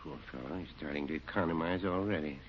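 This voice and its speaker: An actor playing a gentle, mild-mannered pharmacist in a 1940s radio comedy. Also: male voice, a bass voice, quick pace, background noise. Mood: kindly. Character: mild, kindly male pharmacist. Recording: muffled old radio broadcast.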